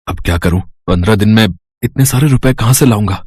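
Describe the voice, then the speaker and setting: Poor village husband, played by a man: low, soft-spoken, humble and reassuring, storybook cartoon. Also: fast talker, slightly rough, dry recording, clean studio sound. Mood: worried. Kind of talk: animated storybook cartoon dialogue.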